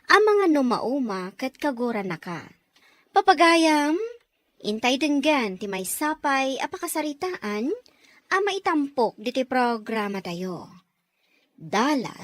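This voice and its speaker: A woman with a Filipino accent presents a radio drama from a script. She speaks formally and with measured phrasing. The sound is very dry, with no room around the voice. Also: fairly high pitch, no echo. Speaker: radio drama announcer and narrator.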